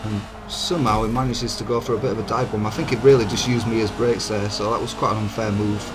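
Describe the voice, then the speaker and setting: A man with a hoarse, croaky voice, giving easygoing, chatty commentary over his own racing game footage as a channel host. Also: lowish voice, fairly even intonation, fast. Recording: game engine noise behind. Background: racing car engine sounds.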